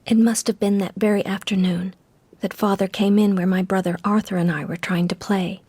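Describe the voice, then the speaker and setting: An actress playing a wistful young society woman, telling her story in a hushed, measured radio drama style. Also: mid-range voice, little pitch movement, slightly rough, lightly acted. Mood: haunted, sorrowful.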